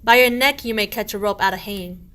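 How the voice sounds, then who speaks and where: A woman playing a grumbling, sharp-tongued housewife in a medieval radio play, scolding in archaic rhyme. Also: medium pitch, fast, lightly acted. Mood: teasing, scolding. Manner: scolding, archaic rhyming speech.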